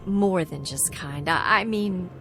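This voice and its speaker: An actress playing an anxious, apologetic young woman traveller in a radio drama, speaking in a nervous, halting way. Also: lowish voice, a bit bright, a little hiss or hum. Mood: grateful. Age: young adult. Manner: nervous, halting, breathless politeness.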